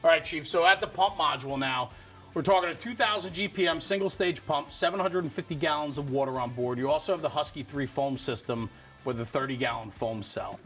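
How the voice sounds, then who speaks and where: Fast-talking, enthusiastic male interviewer. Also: on the high side, a bit bright, soft background music. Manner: enthusiastic, affirming, recaps before asking. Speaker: interviewer and host. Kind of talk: on-site walkaround interview about a fire truck.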